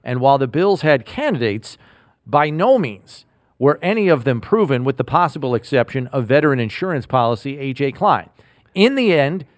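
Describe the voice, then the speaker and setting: Measured man hosting a sports show. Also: on the high side, no room sound, studio quality. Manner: measured, announcer-like delivery. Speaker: podcast host. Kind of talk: scripted sports podcast talk.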